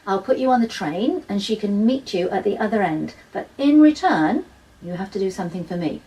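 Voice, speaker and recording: A woman's voice: medium pitch, animated, fairly quick, warm tone, far from the mic, lightly acted, rough recording. Sulky, no-nonsense mum in a children's audiobook voiced by a female narrator, emphatic, a touch off-mic. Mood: bargaining, firm. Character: sulky, firm mother of a schoolchild. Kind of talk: children's audiobook character dialogue.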